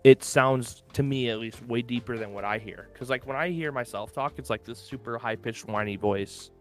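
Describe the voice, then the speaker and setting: A man co-hosting a relaxed online podcast, rambling through his thoughts in a mid-range voice. He leans on "like" and "you know" constantly. Faint music plays under the talk. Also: mid-range voice, moderate pace. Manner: rambling, heavy use of "like". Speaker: podcast co-host. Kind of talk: casual chat. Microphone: open-ear headphones and desk mic.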